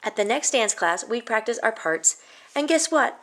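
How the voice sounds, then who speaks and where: High-voiced woman reading a picture book. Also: animated, normal speed, a bit bright. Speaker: storybook reader. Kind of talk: expressive children's picture-book read-aloud.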